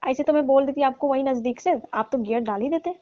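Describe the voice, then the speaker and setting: A woman's voice: sits high, fast, lightly acted. A woman playing a young daughter-in-law in a comedic phone-call skit, scolding and teasing in mock reproach. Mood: coy, teasing. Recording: dull lo-fi phone-call style audio.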